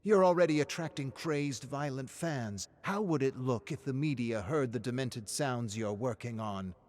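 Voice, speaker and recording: A man voicing an angry, scornful woman music producer in a dark story, scolding forcefully. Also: mid-range voice, normal speed, pitched above the performer's own voice, lightly acted. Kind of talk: acted dialogue in story narration.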